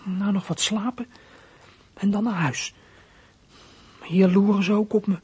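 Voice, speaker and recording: An actor playing a sullen fourteen-year-old runaway boy in a radio play, muttering bitter thoughts to himself in a husky, breathy voice. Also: medium pitch, fairly even intonation, a little slow, a little mellow. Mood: weary, bitter. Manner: brooding inner monologue, muttering to himself.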